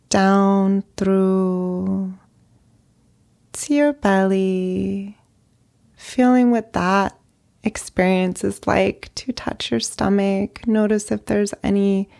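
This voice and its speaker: A woman leading a guided meditation as a therapist, speaking gently in short phrases with long pauses. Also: mid-range voice, gravelly. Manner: slow, gentle, pausing between short phrases.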